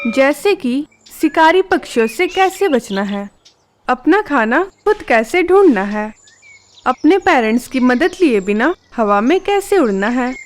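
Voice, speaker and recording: Lady narrator for a kids cartoon story, really high voice, faint noise behind. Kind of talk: scripted children's story narration.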